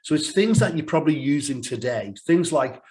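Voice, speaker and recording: Croaky-voiced man presenting a webinar. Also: a little high, expressive, fairly quick, a little mellow. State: croaky voice from a cold, coughing. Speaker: sales director presenting a webinar. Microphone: video call.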